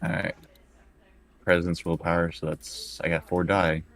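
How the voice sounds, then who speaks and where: A man's voice: deep voice, level tone, a little slow, a bit bright. A man chatting casually as a player in a tabletop game, hesitant and stumbling over his words.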